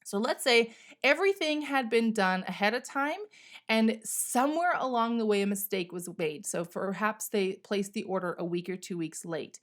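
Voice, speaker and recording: A woman's voice: mid-range voice, animated, normal speed. Candid woman in casual solo podcast talk. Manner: candid, conversational, salty language. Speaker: podcast host.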